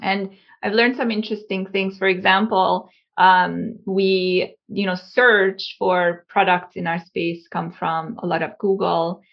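A woman from Hungary with a creaky voice, answering conversationally as an interview guest. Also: medium pitch, steady tone, unhurried, hardly any pauses, highs cut off. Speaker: guest, company founder.

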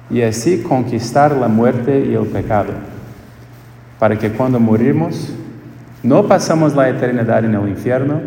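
A man with a Portuguese accent preaching a homily in a church, in slow, searching phrases that often restart. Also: medium pitch, creaky, warm tone. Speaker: priest. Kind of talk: homily at a quinceañera Mass. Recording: echoey church with long reverb.

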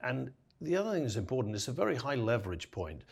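A man, a company founder, calmly making his case as an interview guest and citing study figures. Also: mid-range voice, quick pace, vocal fry, husky. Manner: steady, persuasive, cites figures. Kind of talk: casual interview.

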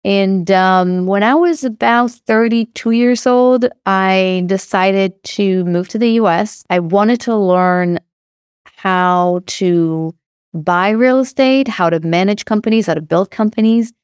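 A woman's voice: medium pitch, takes it slowly, clean tone, no echo, clean studio sound. A woman in her thirties with an Israeli accent, talking casually as a podcast guest with many fillers and restarts. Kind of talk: podcast interview.

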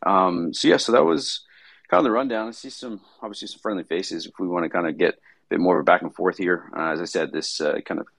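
A tired man with a raspy voice hosting a casual market-review chat, rambling with many fillers and restarts. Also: deep voice, fast, a bit bright, dry recording. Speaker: host.

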